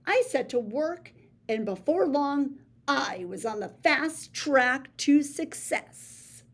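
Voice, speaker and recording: Slow, theatrical woman reading aloud. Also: animated. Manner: theatrical, playful, big pitch swings; boastful first-person storybook voice. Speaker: read-aloud storyteller. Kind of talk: children's picture book read-aloud.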